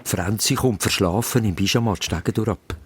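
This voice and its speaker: A man's voice: on the low side, normal speed, slight background noise. A man with a Swiss accent narrating a children's radio play as a suspenseful story.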